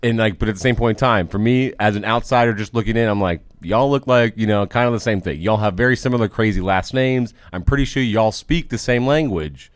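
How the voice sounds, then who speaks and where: A man co-hosting a casual podcast, rambling and often trailing off quietly at the end of his phrases. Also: on the low side, a little fast, hardly any pauses, dry recording, studio quality. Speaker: podcast co-host. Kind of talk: casual chat.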